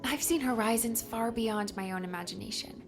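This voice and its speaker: A woman's voice: fairly high pitch, normal speed, close to an everyday voice. An actress playing an earnest, homesick young woman adventurer in an audiobook drama. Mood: regretful, resolute. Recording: music underneath.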